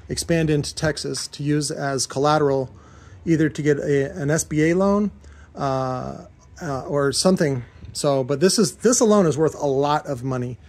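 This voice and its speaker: A man's voice: on the high side, darkish tone. An American man giving a casual, off-the-cuff workshop walkthrough, rambling and informal as he explains his equipment. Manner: rambling, informal, occasionally profane. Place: cluttered industrial workshop indoors. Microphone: handheld camera held by the speaker.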